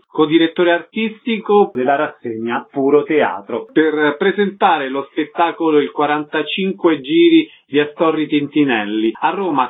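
Male radio host, fairly high voice, presenting and questioning, with thin band-limited audio. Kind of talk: radio arts interview, announcing and questioning. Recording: narrow phone-like band.

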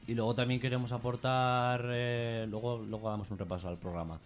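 A man's voice: mid-range voice, flat delivery, fairly quick, soft background music. A man with a Peninsular Spanish accent speaking informally as an activist guest in a radio interview, hesitating, rambling and repeating himself.

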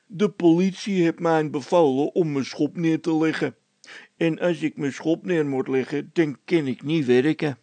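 A man's voice: on the high side, a little slow, dark tone, dry recording, pitched above the performer's own voice. A man voicing a peevish, spiteful road labourer who is easily irritated, in a storybook tale. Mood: sullen.

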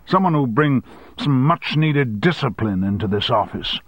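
A man's voice: medium pitch, expressive, moderate pace, dry recording, pitched above the performer's own voice. A man doing a blustering short-tempered boss. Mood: angry. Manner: blustering, shouting threats.

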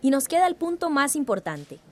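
Radio drama: actress playing organised female neighbourhood leader, fairly high voice, encouraging, determined. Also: big pitch swings, a little fast, darkish tone.

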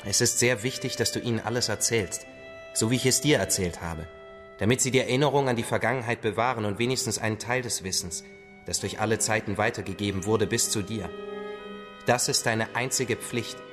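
An actor playing a patient, solemn father-like survivor who tells stories gently and with measured phrasing in a radio drama. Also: on the low side, over music, lightly acted. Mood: solemn, urging. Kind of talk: radio drama acting, storytelling.

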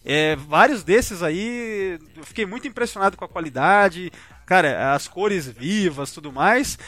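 A Brazilian man with a high voice and very lively intonation hosts a casual podcast. He comments in a rambling, slangy, informal way. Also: no echo. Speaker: podcast host.

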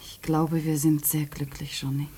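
An actress playing an anxious, devoted young wife in a German radio play, soothing and questioning. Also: female voice, low-pitched, little pitch movement, moderate pace, mellow, breathy edge. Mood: tender.

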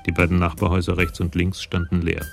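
An actor narrating a scripted detective story in a radio play. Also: male voice, sits low, monotone, fast, vocal fry. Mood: measured storytelling. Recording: music behind the voice.

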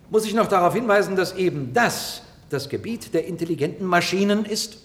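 An actor playing a persistent, courtly defence lawyer in a German radio drama, speaking formally and emphatically. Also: male voice, a little high, close to an everyday voice. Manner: formal, rhetorical, emphatic delivery. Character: male defence lawyer, eloquent, persistent, courtly, pleading for robots. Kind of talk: 1960s-1990s German radio drama acting. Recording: reverberant hall, old radio play.

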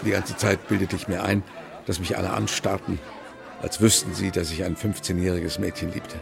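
A reflective novelist recounts memories in a radio drama. His low voice is fairly creaky and confiding, with soft music at times. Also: flat delivery, normal speed, crowd noise. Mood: uneasy, confiding. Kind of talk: German radio drama acting, reminiscing.

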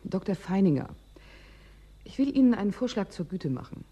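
An actress in a German radio drama playing a determined, indignant researcher who speaks formally and insistently. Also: female voice, mid-range voice, big pitch swings, moderate pace, mellow, slightly rough. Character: determined, indignant woman researcher new to the frozen frontier.

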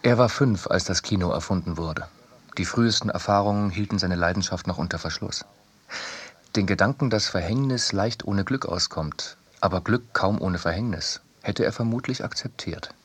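A man reading a scripted literary essay for radio in a measured, deliberate way. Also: deep voice, husky. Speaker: reader in a radio production.

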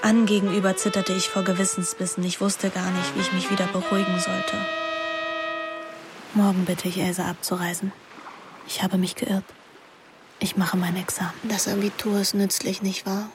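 A woman's voice: mid-range voice, level tone, normal speed, music in the background, lightly acted. A young woman playing a scheming, anguished teenage girl who narrates her own story in a radio drama, intimate and introspective. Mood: brooding, introspective.

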